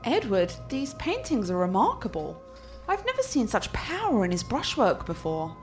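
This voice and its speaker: A woman's voice: fairly high pitch, wildly swooping pitch, moderate pace, a little mellow. An actress playing an intense, troubled, genteel young lady in a horror radio play, speaking in a theatrical and formal way. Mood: fascinated. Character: intense, troubled genteel girlfriend haunted by nightmares. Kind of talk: dramatic radio play acting. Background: music.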